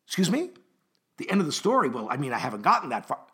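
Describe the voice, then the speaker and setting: Guy playing a flustered prim grade school teacher talking to little kids, high voice, super animated, comic stage bit. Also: fast, exaggerated voice. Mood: prim, coaxing. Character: fussy, flustered first-grade teacher addressing young children. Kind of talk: theatrical comic monologue.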